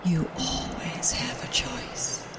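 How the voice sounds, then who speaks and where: Slow, whispering wind spirit played by a woman. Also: fairly high pitch, recorded from a distance. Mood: brooding. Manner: breathy whispering, slow solemn phrases. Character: the Wind, a female elemental spirit, stern, brooding, weary. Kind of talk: audio drama acting. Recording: noisy, set back from the mic.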